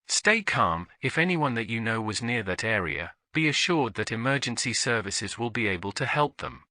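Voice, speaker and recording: A man's voice: mid-range voice, lively intonation, normal speed, bright, forceful tone, no echo, clean studio sound. A man reading a scripted emergency broadcast announcement in a formal, solemn manner as a continuity announcer.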